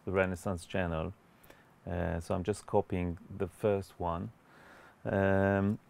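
A man in his forties giving an explanatory tutorial as a music producer, in a measured, hesitant way. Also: lowish voice, vocal fry. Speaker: music producer and mixing instructor. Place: indoor recording studio.

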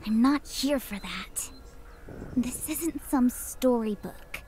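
A dreamy young girl from a visual novel, given to scolding herself. She speaks slowly in a fairly high voice. Clear noise sits behind the voice. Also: darkish tone. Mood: stern, subdued. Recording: clear noise from gameplay capture.

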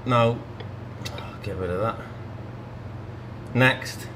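A man's voice: medium pitch, drawn-out words, background noise. A man in his thirties giving an informal hands-on tutorial, explaining a product step by step. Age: 30s. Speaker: product demonstrator. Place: small indoor showroom.

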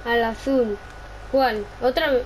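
A woman's voice: on the high side, fairly even intonation, slow. A female gamer in casual game chatter, often putting questions to a playing partner. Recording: clearly noisy home gaming recording.

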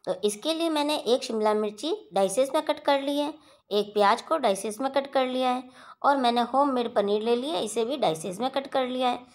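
A woman hosting a home cooking channel, talking viewers through a recipe step by step in a steady instructional voice-over. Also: high voice, normal speed.

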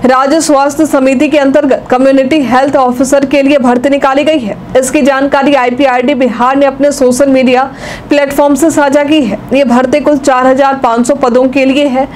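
A young woman anchoring a regional news bulletin from a studio, reading each item in a steady, even newsreader delivery. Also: high voice, normal speed, a little hiss or hum. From India. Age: young adult.

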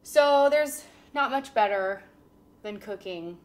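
A woman's voice: a little high, expressive, slow pace, over music. A woman in her thirties hosting a cooking show, giving upbeat, chatty instructions and laughing often in a home kitchen. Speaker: cooking show host and teacher. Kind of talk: casual cooking demonstration.

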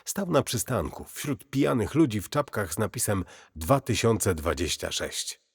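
Male horror narrator: grave and unhurried, low husky voice with swinging intonation. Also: big pitch swings. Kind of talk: scripted first-person horror audio story narration. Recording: cavernous long reverb.

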